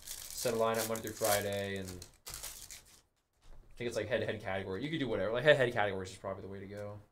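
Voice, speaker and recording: Young male host for a live card break, relaxed banter, calls out each card. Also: lowish voice, racing, slight background noise. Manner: casual, calls out cards, excited on big hits. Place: studio with card boxes.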